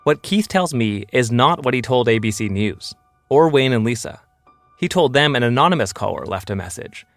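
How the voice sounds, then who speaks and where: Gravelly-voiced male documentary narrator. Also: medium pitch, lively intonation, normal speed, dry recording, soft background music. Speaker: podcast host and investigative reporter.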